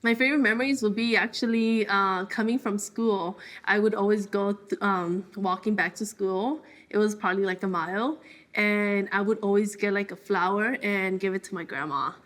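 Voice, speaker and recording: A woman's voice: medium pitch, moderate pace. A woman in her twenties from El Salvador, a podcast guest talking casually and plainly, with many fillers. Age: 20s. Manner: relaxed and plainspoken, with frequent fillers. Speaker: interview guest, ultramarathoner. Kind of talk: casual conversation.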